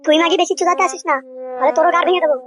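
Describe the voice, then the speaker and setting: A man voicing a harsh, sarcastic, exasperated father in a comedy cartoon, with a pitched-up voice. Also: racing, over music. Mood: angry. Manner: scolding, sarcastic, crude. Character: exasperated, harsh father shamed by his naughty son.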